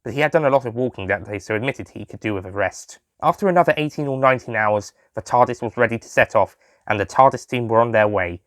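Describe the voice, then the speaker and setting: A man's voice: mid-range voice, quick pace, a bit bright, dry recording. A man reading a scripted audio story as its narrator. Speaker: writer and narrator.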